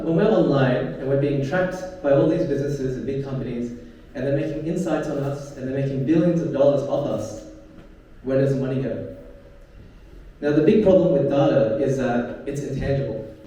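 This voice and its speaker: A man delivers a startup pitch in an earnest, rehearsed way with the odd filler. His voice is mellow and sits back from the mic. The room is very echoey, with faint noise underneath. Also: moderate pace, recorded from a distance. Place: event venue.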